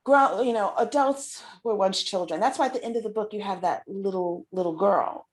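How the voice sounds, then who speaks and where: A middle-aged woman chats casually as the host of an interview. She speaks effusively, often repeating a phrase to press her point, at an average pitch for a woman. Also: moderate pace. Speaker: show host and children's book author. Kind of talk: casual conversational interview chat.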